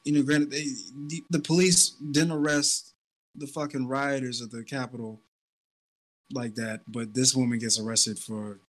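A man's voice: medium pitch, steady tone, normal speed, fairly bright tone, rough recording. A man in an easygoing podcast chat who wanders through his thoughts, full of "like" and "um." He has a plain mid-range voice. His sentences often stall and restart before he finds the point. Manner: rambling, hedging, trails off into fillers. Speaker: podcast co-host. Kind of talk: casual chat.

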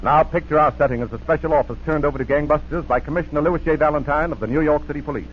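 A man's voice: fairly high pitch, racing. A man working as a 1940s radio announcer, with a polished, emphatic sales delivery. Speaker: radio announcer and sponsor pitchman. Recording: dull, hissy 1940s radio broadcast.

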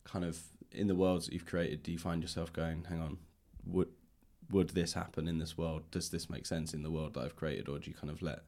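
Deep-voiced man hosting a podcast. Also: little pitch movement, brisk, hardly any pauses, a little mellow, no echo. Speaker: podcast host and interviewer. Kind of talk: conversational podcast hosting and interviewing.

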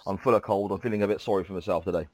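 A man with a lowish voice hosts a podcast, sounding congested from a cold. He chats politely and fills pauses with ums. His voice sounds dry and close. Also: steady tone, darkish tone, no echo. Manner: chatty, polite, frequent ums. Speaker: podcast host. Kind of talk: casual interview chat.

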